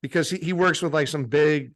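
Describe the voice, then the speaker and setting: A man's voice: fairly high pitch, brisk. A young adult man, a meditation teacher and guest, chatting casually with lots of "like" and swearing. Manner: casual, heavy use of "like" and swearing. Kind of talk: casual interview chat.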